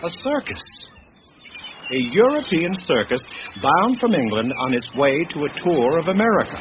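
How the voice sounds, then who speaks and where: An actor playing a grave heir recounting his family's cursed heirloom tale in measured, literary radio-drama storytelling. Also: a little high, big pitch swings, background noise. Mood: measured, suspenseful. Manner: measured, literary, deliberate delivery. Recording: muffled radio drama with music underneath.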